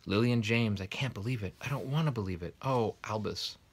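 A man's voice: on the low side, fairly quick, breathy edge, pitched above the performer's own voice. A man voicing a stern, anxious older female professor of witchcraft from a storybook, prim and insistent. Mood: distressed.

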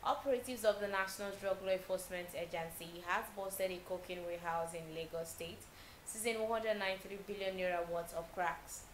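A young Nigerian woman reading a news bulletin as a TV newsreader, steady and measured with small slips. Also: mid-range voice, echoey room, a little hiss or hum, low-quality recording. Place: TV news studio.

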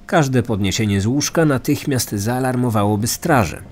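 A man's voice: mid-range voice, normal speed, slight background noise. A man narrating a scripted true crime story as a podcast host. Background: background music.

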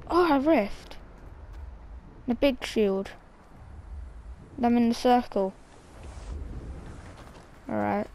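A woman with a croaky, gravelly voice, coughing now and then, casually commenting on her own video game play. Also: fairly high pitch, a little mellow, a little hiss or hum. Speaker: gameplay streamer.

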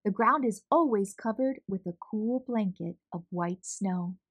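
A woman narrating a children's storybook as a read-aloud host, expressive, measured and clearly enunciated. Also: mid-range voice.

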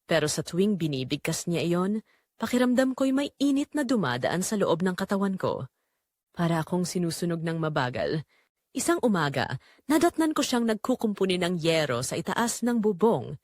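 A woman's voice: fairly low pitch, sing-song, normal speed, darkish tone, no room sound, clean studio sound. A woman playing a guilty, secretly tempted married mother who narrates her own story in an audio drama. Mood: longing, confessional. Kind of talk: first-person scripted audio drama narration.

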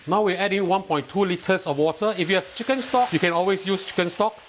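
Man, Singaporean English accent, slightly high-pitched, cooking tutorial voice-over, instructive. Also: fast talker, a little hiss or hum. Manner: instructive, step-by-step, lightly enthusiastic. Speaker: cooking channel host. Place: home kitchen.

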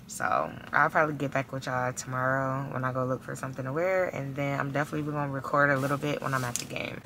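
A woman's voice: extremely low pitch, little pitch movement, fast talker, pushed and bright. A woman in her twenties with an American accent, chatting casually and in slang for her vlog on a handheld camera. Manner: informal, slangy. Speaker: vlogger. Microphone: handheld camera at arm's length. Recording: noisy handheld camera recording.

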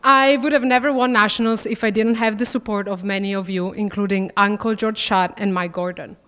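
A young woman in her twenties with an Italian accent, a student athlete giving a prepared speech at a podium, warm and gently self-deprecating. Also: medium pitch, fairly even intonation, brisk, clean tone. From Italy. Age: 20s. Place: large banquet hall, at a podium. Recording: reverberant banquet hall.

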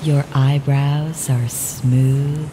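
A woman with a very deep voice talks the listener through a guided relaxation, calm and measured, at an unhurried crawl. Clear ocean surf washes behind her, and added reverb trails her words. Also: background noise. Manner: calm, measured, repetitive instructions. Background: ocean waves.

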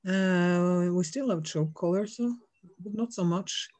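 Middle-aged woman, Norwegian accent, non-native English, frank and relaxed, home video call. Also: fairly low pitch. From Norway. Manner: frank, laughs easily, unhurried. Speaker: dog trainer guest. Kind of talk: casual video call interview. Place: home room with shelves.

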